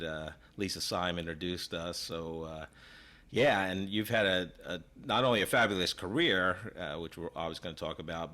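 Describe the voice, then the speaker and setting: A man's voice: low voice, bright, forceful tone. A man in his fifties from New York, hosting an interview podcast and talking in a rambling, casual way with many fillers and restarts. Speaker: podcast host.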